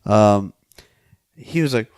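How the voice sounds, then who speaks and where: A man's voice: on the low side, expressive, slow pace, darkish tone, smooth, no echo, clean studio sound. A man co-hosting a film podcast, talking casually with slangy, profane wording.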